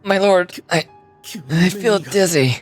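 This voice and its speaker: A woman's voice: lowish voice, slow, faint music behind, voice pushed down. A woman voicing a dazed, respectful soldier serving a lord in a game. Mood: pained, dizzy.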